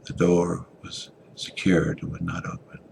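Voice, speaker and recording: A man giving a dramatic reading of a story, theatrical, moving between hushed and forceful delivery. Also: completely flat delivery, unhurried, noisy background. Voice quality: very deep, gravelly. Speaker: author reading a short story.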